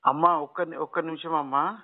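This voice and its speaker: A man with a Telangana Telugu accent questioning on a phone call in a halting, repetitive, insistent way. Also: fairly high pitch, fairly even intonation. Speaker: phone caller. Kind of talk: questioning in casual phone conversation. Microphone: phone line.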